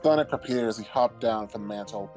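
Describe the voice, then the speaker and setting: A man's voice: fairly quick, darkish tone. A man reading scripted comedic fanfiction as the narrator. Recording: old-timey low-quality mic, background music.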